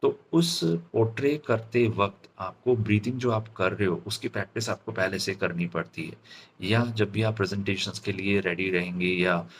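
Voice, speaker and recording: A man with an Indian accent giving an instructional lecture as a speaking coach, in deliberate, halting phrases with frequent check-in questions. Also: on the low side, a little hiss or hum.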